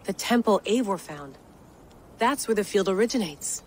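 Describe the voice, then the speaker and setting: A woman's voice: medium pitch, animated, normal speed, darkish tone, natural voice. A determined young agent, voiced by a woman, intense and focused. Faint ambient noise sits behind her. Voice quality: intense, driven.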